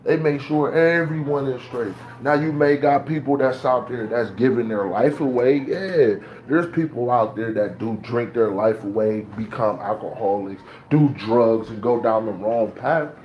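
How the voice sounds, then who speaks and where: A man's voice: mid-range voice, unhurried, few pauses, muffled recording, slight background noise. A man from Ohio, ranting casually in loose, slangy talk and preaching his views. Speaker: beatmaker talking to camera. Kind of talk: casual rant.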